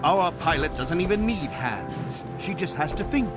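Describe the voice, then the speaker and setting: Boastful small-creature inventor, high voice with animated showman delivery, game cutscene over music. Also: measured pace. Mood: proud. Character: proud, showy young male asura inventor, small goblin-like. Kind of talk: video game cutscene acting. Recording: music behind the voice.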